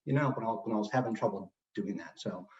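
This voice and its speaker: A man's voice: on the low side, fast talker, darkish tone. Guy talking casually about stuttering, lots of ums, far off in an echoey room, lo-fi. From Texas, US. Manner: casual, with fillers and occasional stutters. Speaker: presenter at a speech celebration. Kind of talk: personal talk about stuttering. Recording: roomy, distant, dull sound.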